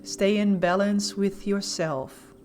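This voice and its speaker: Slow-paced woman guiding meditation. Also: mid-range voice, steady tone, soft background music. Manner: soothing, gentle, softly spoken instructions with pauses. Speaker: guided meditation instructor. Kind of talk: guided meditation narration.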